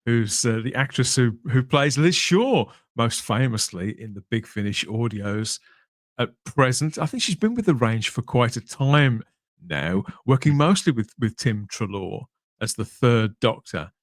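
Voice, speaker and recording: A man's voice: medium pitch, lively intonation, no echo, studio quality. Podcast host guy, mid voice, really varied intonation, chatty but polished, tags questions on the end. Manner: measured, discursive, adds tag questions.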